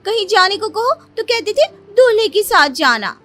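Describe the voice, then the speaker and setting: High-voiced, coaxing young wife played by a woman. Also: big pitch swings, normal speed, a bit bright, faint music behind, full character voice. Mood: pouty, tearful. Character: pretty young newlywed wife, affectionate, pouty, pleading. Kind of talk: dramatised cartoon moral story.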